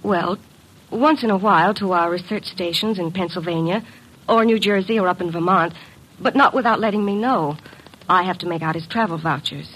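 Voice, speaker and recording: An actress playing an earnest, worried young secretary in a classic radio drama, speaking politely and hesitantly. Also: female voice, lowish voice, moderate pace, a bit bright, husky, low-quality recording.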